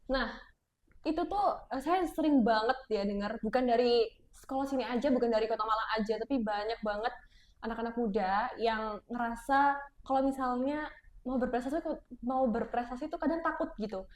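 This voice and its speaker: A woman's voice: fairly high pitch, normal speed, darkish tone. A young woman hosting a podcast, chatty and agreeable, leaning on casual fillers. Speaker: student podcast host. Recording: roomy echo.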